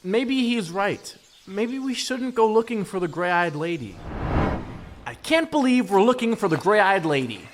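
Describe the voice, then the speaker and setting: A woman as an anxious, lively-voiced young boy sidekick. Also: medium pitch, unhurried, noisy background. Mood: worried. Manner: nervous, faltering, doubtful. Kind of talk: scripted audio drama acting.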